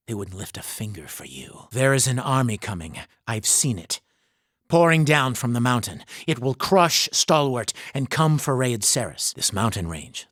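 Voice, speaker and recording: An actor playing a proud, devout and haunted mercenary commander in a fantasy video game, speaking in a grave, formal, accusatory manner. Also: male voice, mid-range voice, no echo, studio quality, close to an everyday voice. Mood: proud, scornful. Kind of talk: dramatic fantasy game voice acting.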